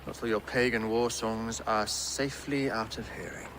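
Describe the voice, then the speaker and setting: A man's voice: fairly low pitch, fairly even intonation, noisy background, natural voice. An actor playing a dignified, pious Saxon king in a video game, formal and dryly haughty. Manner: measured, regal, formal. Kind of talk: dramatic video game acting.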